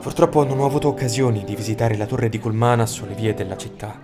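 A man's voice: medium pitch, steady tone, fast talker, music in the background, natural voice. A man playing a reserved, dry-humored noble adventurer in a fantasy audio drama, speaking guardedly. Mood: wry.

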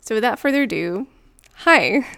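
Smooth-voiced woman hosting. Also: on the high side, expressive, moderate pace, fairly bright tone. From Montreal, Canada. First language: French. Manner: chatty and personal, light laughs. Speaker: podcast host. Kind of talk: solo podcast monologue.

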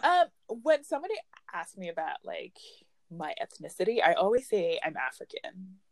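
A young woman from Ghana hosting a casual podcast discussion in a relaxed, chatty way. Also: on the low side, moderate pace, dry recording. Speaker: podcast host.

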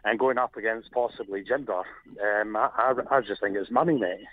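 A man's voice: fairly low pitch, brisk, slight background noise. A man with a Scottish accent calling in to a talk show, friendly and hesitant, with lots of fillers. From Scotland. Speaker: caller. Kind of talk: phone-in call to a talk show. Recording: tinny phone line.

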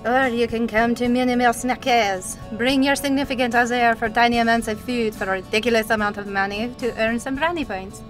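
A chirpy parody radio announcer played by a woman. Her voice is slightly high, and music plays clearly behind her sponsor read. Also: steady tone, a little fast, long pauses, close to an everyday voice.

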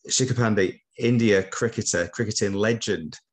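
A man co-hosting a casual podcast, encouraging and gently teasing. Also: on the low side, moderate pace. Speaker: podcast co-host.

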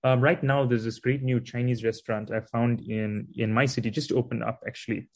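A man hosts a friendly conversation. His voice sits at a middle pitch. He talks in loose, restarting sentences as he asks his guests questions. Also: little pitch movement, fairly quick, darkish tone. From South Africa. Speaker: podcast host. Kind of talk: conversational interview.

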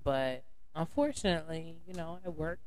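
A woman's voice: deep voice, animated, normal speed, darkish tone. A woman from North Carolina, talking casually on a podcast, rambling with lots of fillers and calling people honey. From North Carolina, USA. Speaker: podcast guest.

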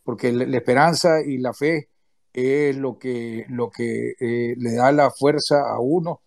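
Halting man, dry close sound. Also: medium pitch, steady tone, creaky, darkish tone, no echo. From Nicaragua. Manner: halting, full of restarts and hesitations. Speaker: activist speaker, former political prisoner. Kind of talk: closing remarks in an online audio chat room. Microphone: remote audio call.

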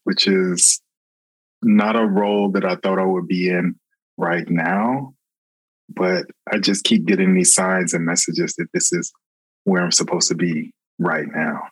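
Brief: man from Florida, recruiter, husky low voice, dry close sound, earnest and thoughtful. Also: steady tone, a little slow, no echo, low-quality recording. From Florida, USA. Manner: reflective, earnest, many ums. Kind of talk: casual interview talk.

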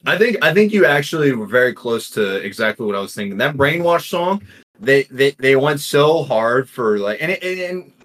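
A man co-hosting a casual music podcast, easygoing and thinking out loud. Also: medium pitch, big pitch swings, moderate pace. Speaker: podcast co-host. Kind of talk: casual chat.

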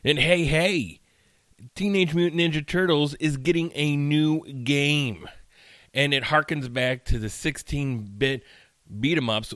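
A man of ordinary pitch talks into a close mic in a dry, dead room, chatting loosely and restarting his sentences often. He sounds worn out and a little nasal, like someone still getting over a bad cold. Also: a bit bright, no room sound. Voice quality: slightly nasal. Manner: chatty, rambling, with frequent fillers and restarts. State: tired, recovering from illness. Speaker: podcast host. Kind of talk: casual solo podcast rant. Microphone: studio mic.

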